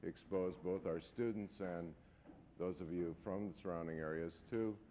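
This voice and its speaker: A man in his forties hosting an architecture lecture series, introducing the evening's guest in a measured, halting way with frequent pauses. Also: sits low, darkish tone. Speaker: architecture school host introducing a guest speaker. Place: lecture hall. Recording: dull, faintly noisy 1970s videotape.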